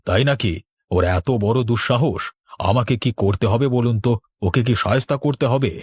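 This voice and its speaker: Man playing husky scheming village boss. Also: mid-range voice, normal speed, no room sound, studio quality, natural voice. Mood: eager menace. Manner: conspiratorial, commanding. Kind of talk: cartoon dialogue.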